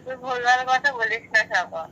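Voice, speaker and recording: A woman's voice: fairly high pitch, normal speed, bright, forceful tone, poor audio. A young woman, protesting and pleading in a casual phone conversation. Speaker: prank call recipient, girlfriend.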